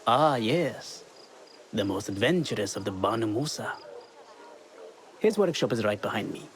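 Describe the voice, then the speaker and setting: Here is an obliging scholar from a video game. His voice is fairly low, with animated intonation. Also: moderate pace. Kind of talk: video game cutscene acting.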